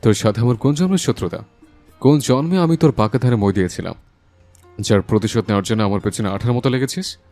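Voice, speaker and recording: A man's voice: mid-range voice, fast, darkish tone, lightly acted. A man playing a passionate, protective young husband in a dramatised romantic audio story, speaking with emphatic feeling. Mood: furious, scolding. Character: hot-tempered young husband, fiercely protective, tender with his wife. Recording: voice over a music bed.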